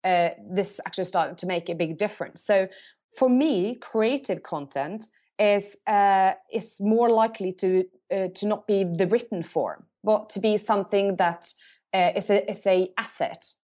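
A middle-aged woman speaking English, answering interview questions thoughtfully and often pausing to rephrase. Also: medium pitch, warm tone. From Norway. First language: Norwegian. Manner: thoughtful, pauses to search for words and rephrases. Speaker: agency CEO, guest.

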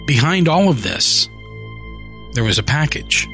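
Man in a measured horror reading. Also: a little high, big pitch swings, bright, forceful tone, soft background music. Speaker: story narrator. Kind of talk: scripted horror narration.

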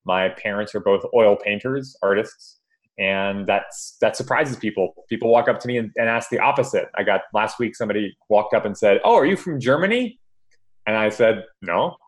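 A man's voice: on the low side, lively intonation, normal speed. A man from Hawaii, a podcast guest chatting casually and hesitantly, with lots of ums and repeated words. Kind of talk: casual interview chat.

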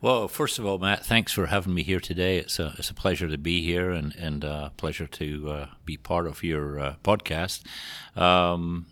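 A man's voice: sits low, normal speed, hardly any pauses, a bit bright. A middle-aged man from Scotland with a Scottish accent, talking conversationally as an interview guest. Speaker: podcast guest, general manager.

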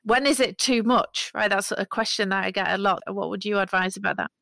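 A woman's voice: mid-range voice, brisk, vocal fry, a bit bright, no echo, studio quality. A woman hosting an interview in a conversational style, putting questions to a guest. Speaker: interview host.

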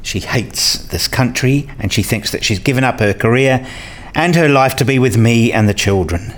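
A man playing an earnest, pedantic husband and father who narrates his day in a radio play, with a deliberate, fussy manner. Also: medium pitch, expressive, close to an everyday voice. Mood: troubled. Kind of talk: radio play dialogue and first-person narration.

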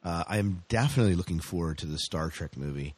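A man's voice: low voice, expressive, fast, breathy edge, no echo. A man hosting a podcast in casual, bantering chat about films and TV, often asking questions. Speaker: podcast host.

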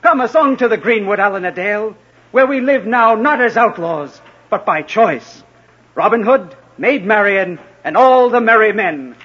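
Confident outlaw hero, 50s radio drama, bit raspy, hearty speeches, muffled old recording. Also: male voice, high-pitched, animated, normal speed. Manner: hearty, declamatory. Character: bold, confident young outlaw hero of the greenwood. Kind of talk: 1950s radio drama acting.

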